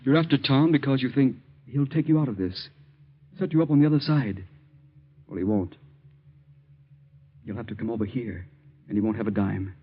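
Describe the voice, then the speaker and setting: A man's voice: mid-range voice, normal speed, long pauses, slightly rough, natural voice. A man playing a bitter, resigned young doctor and jilted suitor in a 1940s radio drama, brooding and insistent. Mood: accusing. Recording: dull lo-fi old radio recording.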